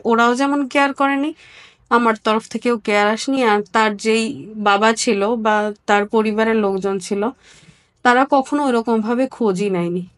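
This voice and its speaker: Higher-pitched young Bangladeshi woman, halting and earnest. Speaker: interview guest, nurse. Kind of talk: candid personal storytelling in an interview.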